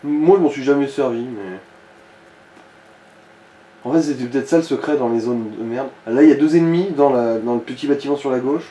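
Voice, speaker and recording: Echoey, mellow-voiced man commenting gameplay. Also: medium pitch, a little fast. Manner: crude joking, swearing. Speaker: let's play commentator guiding the player. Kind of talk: casual gaming commentary. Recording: echoey room with faint background noise.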